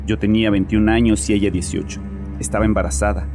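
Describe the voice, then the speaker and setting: A man's voice: fairly low pitch, flat delivery, fast talker, close to an everyday voice. An actor playing a bitter, anguished man in his late twenties confessing to a therapist in an audio drama, defensive and scornful. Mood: bitter, reminiscing. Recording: music under the voice.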